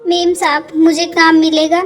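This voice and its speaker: Cartoon heroine played by a woman: poor young pregnant woman, earnest, plaintive, high-pitched, music underneath. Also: level tone, moderate pace. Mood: pleading. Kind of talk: cartoon dialogue. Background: background music.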